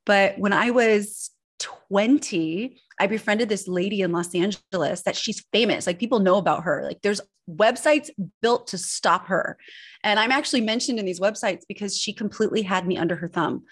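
A woman's voice: medium pitch, moderate pace. A middle-aged woman who grew up in Northern California, speaking as an interview guest and telling her life story in an earnest, confessional way. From Switzerland, grew up in Northern California. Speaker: guest, personal branding expert.

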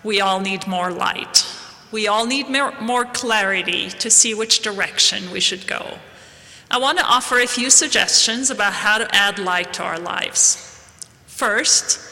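A woman, from Palestine, giving a slow, measured, earnest devotional address to a congregation. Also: mid-range voice, pushed and bright. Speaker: guest speaker at a church devotional. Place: large historic hall. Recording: long reverb of a large hall.

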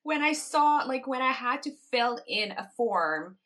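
Woman from the Caribbean, solo podcast host, slow unhurried delivery, bright voice, reflective with light laughs. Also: high-pitched, animated. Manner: reflective, laughs between points. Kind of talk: casual solo podcast monologue.

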